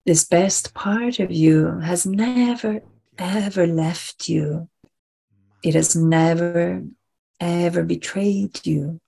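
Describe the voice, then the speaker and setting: A woman leading a guided relaxation meditation in a soft, breathy voice, soothing and deliberate, repeating phrases with long pauses. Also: lowish voice.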